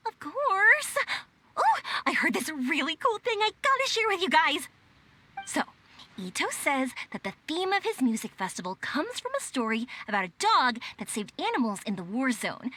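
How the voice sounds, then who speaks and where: Chirpy, enthusiastic young woman for a game. High voice, very lively intonation, eager. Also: normal speed, slight background noise, full character voice. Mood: excited. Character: bubbly, warm-hearted young woman, loyal and enthusiastic. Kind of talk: video game voice acting.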